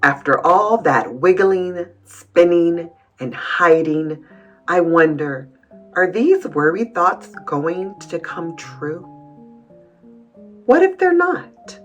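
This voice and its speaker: Female children's read-aloud host. Gentle, encouraging, very expressive, slightly low voice, music underneath. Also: slow, highs cut off. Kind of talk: expressive picture-book read-aloud with commentary for young children.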